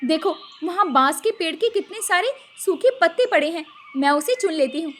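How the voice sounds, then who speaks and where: Woman playing a very high-voiced thrifty young housewife. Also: expressive, normal speed, fairly bright tone. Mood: practical. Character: thrifty, practical city-bred daughters-in-law. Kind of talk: animated moral-story cartoon dialogue.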